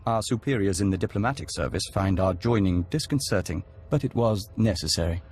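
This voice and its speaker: A human diplomat bonded to an alien hive, speaking in a slightly low voice. He is formal, serene and unfailingly polite, in the measured style of a game cutscene. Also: animated, darkish tone, husky. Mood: serene, courteous. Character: human envoy joined to an alien hive mind, courteous and serene. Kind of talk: video game voice acting.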